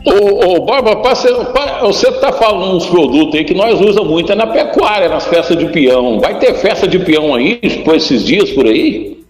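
A man's voice: high voice, expressive, normal speed, hardly any pauses. A man hosting a live radio segment from a store, teasing his guest with playful questions. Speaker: radio host. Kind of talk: lively live radio interview. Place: store, on location. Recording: echoey radio broadcast with music.

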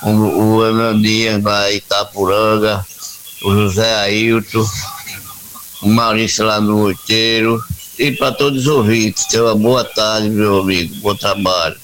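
A man's voice: on the low side, level tone, unhurried, fairly bright tone, slight background noise, low-quality recording. A man calling into a radio show who talks like a grumbling sports fan, then sends greetings to his friends. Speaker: caller.

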